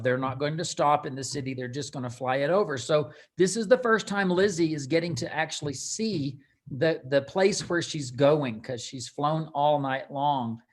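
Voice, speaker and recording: Rambling man hosting, slightly high voice. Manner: rambling, halting explanations with repeated words. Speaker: host and co-author leading a book read-along. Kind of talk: casual live book discussion.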